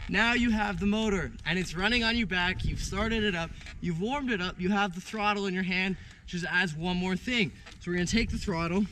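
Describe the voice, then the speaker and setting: A young man teaching paramotor hookup outdoors in an open field, energetic and punctuating steps with punchy exclamations. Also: sits high, a little hiss or hum. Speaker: paramotor instructor. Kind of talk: hands-on outdoor demonstration.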